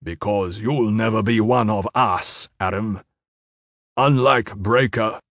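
Guy playing a menacing male military commander, fantasy audiobook, low and deliberate, dry sound. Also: a bit bright, dry recording. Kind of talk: dramatised fantasy audiobook dialogue.

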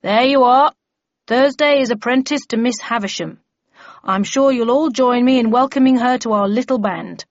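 A woman voicing a stern, brisk bellman who chairs a meeting in an officious, declaiming manner, in an audiobook story. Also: fairly high pitch, no room sound, dull audio, voice pushed up, natural voice. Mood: brisk, calling out.

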